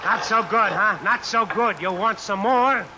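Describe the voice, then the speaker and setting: An actor playing a brawling, boastful tough drifter with an American accent in 1940s radio suspense style. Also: male voice, sits high, measured pace. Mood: menacing, taunting. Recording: old radio recording under rain noise.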